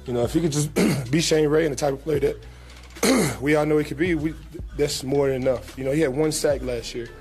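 Young man, pro athlete, press room table mic, relaxed, lots of "you know", faint music behind. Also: a little high, brisk, hardly any pauses. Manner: relaxed, casual, many fillers and repeats. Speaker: pro football player at a press conference. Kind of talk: press conference answers. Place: team press room, indoors. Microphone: table mic in front.

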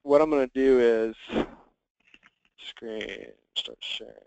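A man leading a software training conference call, talking conversationally and instructively as he walks listeners through things. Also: mid-range voice, takes it slowly, clear, resonant tone, no echo, muffled recording. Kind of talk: conversational screen-share walkthrough. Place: conference call with screen share.

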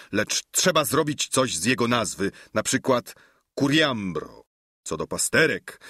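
A man's voice: fairly low pitch, bright, forceful tone, breathy edge, no echo, clean studio sound, heavily acted. A man voicing a lofty, idealistic knight who speaks in grand, flowery phrases. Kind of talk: audiobook character reading.

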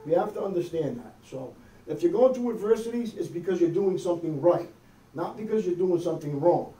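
A man's voice: sits high, expressive, brisk, warm tone. A middle-aged male pastor preaching a sermon in a conversational style, often checking in with "okay?" and "amen?". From United States. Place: small church hall with wood-paneled wall. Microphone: far camera, no visible mic. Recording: echoey room from far off.

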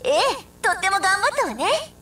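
A woman playing a confident, encouraging fox girl in an anime game, talking in a brisk big-sister way. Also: very high voice, sing-song, bright, forceful tone, distant mic, heavily acted. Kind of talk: anime game character lines.

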